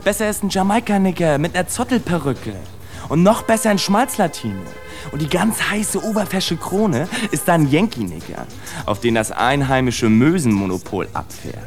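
A man's voice: fairly high pitch, animated, normal speed, faint music behind. An actor playing a streetwise immigrant man who gripes to his buddy in a sardonic, colloquial way in a radio drama monologue. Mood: sardonic, griping.

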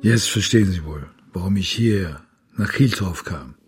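A man's voice: low-pitched, animated, normal speed, faint music behind. An actor playing a desperate, hard-drinking father searching for his missing child, urgent and brooding, in a radio drama. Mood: bitter, weary. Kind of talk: German radio drama acting.

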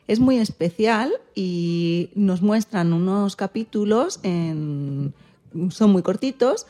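A woman's voice: on the low side, warm tone. A woman presenting a magazine show, partly reading from a script.

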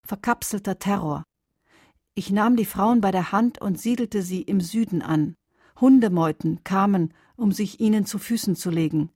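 A woman reciting poetry for a radio drama in deliberate, weighted, declamatory phrases. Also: mid-range voice, darkish tone, no room sound, clean studio sound, natural voice. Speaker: reader in a radio adaptation of a poem cycle.

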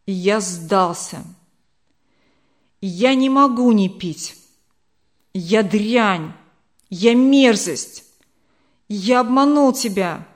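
A woman voicing a bitter, defiant alcoholic husband in an audiobook, with slow, emphatic, dramatic delivery. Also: medium pitch.

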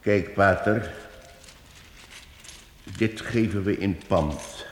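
An actor playing a calm, shrewd wandering minstrel in a radio play, speaking in measured tones. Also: male voice, deep voice, reverberant, big-room sound. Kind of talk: radio drama acting.

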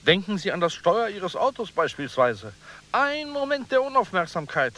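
An officious male expert in a radio play. His fairly high voice swings up and down animatedly. He sounds eager, fussy and pompous, and repeats himself. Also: big pitch swings, moderate pace, bright, forceful tone, exaggerated voice. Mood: warning.

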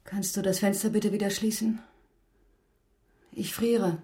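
Actress as soft, dark-voiced subdued woman. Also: medium pitch, steady tone, unhurried, close to an everyday voice. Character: subdued, chilly woman at home in the morning. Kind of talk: quiet, naturalistic radio drama dialogue.

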